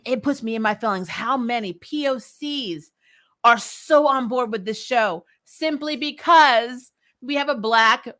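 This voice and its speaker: Female recap host, mid-pitched, blunt and exasperated, giggly, loose conversational delivery. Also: a bit bright. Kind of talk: casual chat, reading and answering viewer comments.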